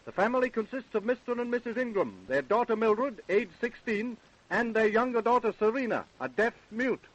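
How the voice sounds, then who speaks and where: An American man with a high voice narrates in a 1930s radio style. The delivery is scripted, on an old broadcast recording. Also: animated, brisk, fairly bright tone, muffled recording.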